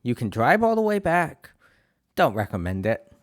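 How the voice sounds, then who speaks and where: A man with a New England accent and a slight lisp, talking through a video game mission in a relaxed, rambling way while fighting a cold. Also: mid-range voice, moderate pace, dry recording, studio quality. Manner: relaxed and rambling, many "uh"s and restarts. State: has a cold, clearing his throat. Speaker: game guide creator. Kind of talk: casual gameplay walkthrough commentary.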